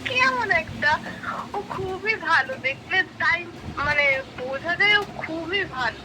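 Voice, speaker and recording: A woman's voice: extremely high pitch, lively intonation, slow. A young woman in a casual phone chat, questioning and suspicious of the person she is talking to. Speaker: friend receiving a prank call. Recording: very noisy phone call.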